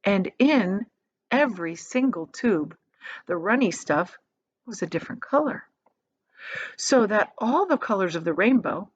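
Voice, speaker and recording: A woman narrates a children's book aloud in an expressive storytelling style. Her pitch sits in the middle range. The recording is slightly dull and lo-fi. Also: measured pace, long pauses, husky.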